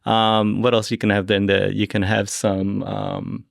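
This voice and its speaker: A man's voice: fairly low pitch, flat delivery, measured pace, gravelly, no echo, clean studio sound. A middle-aged man with an Australian accent, co-hosting a casual food and wine chat in an explanatory, conversational way. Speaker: podcast co-host.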